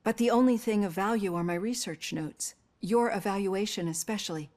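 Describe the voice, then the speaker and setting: A woman's voice: mid-range voice, moderate pace, darkish tone, natural voice. An actress playing a worried, earnest doctor in her fifties in a video game. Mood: concerned. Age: middle-aged. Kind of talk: video game cutscene dialogue.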